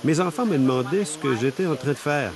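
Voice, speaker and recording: A man reading a voice-over translation of a shop owner, lively and explanatory. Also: a little high, brisk, a crowd in the background. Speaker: interpreter voicing a shop owner.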